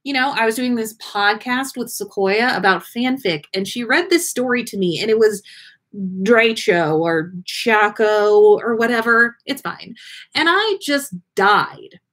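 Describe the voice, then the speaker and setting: A woman voicing a joyful, energetic young female ghost with a gushing, breezy, casual way of talking in a story. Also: a little high, measured pace. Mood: bubbly, excited.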